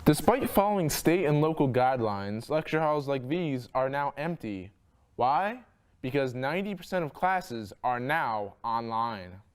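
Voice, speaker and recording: A man's voice: mid-range voice, animated, unhurried, smooth. A man reporting as a student TV news journalist, reading scripted narration.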